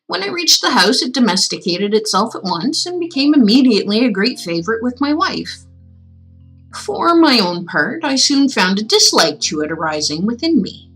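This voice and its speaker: A woman with a slightly high voice hosts a reading stream. She moves between expressive, measured storytelling and playful, casual chat. Faint music plays behind her. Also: poor audio. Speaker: livestream host reading classic tales aloud.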